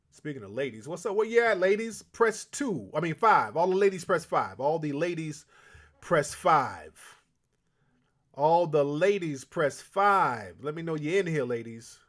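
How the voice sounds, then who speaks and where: A man hosting a call-in show who lectures in a blunt, emphatic way. His voice sits a little high for a man. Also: lively intonation, a little slow, a bit bright. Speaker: host.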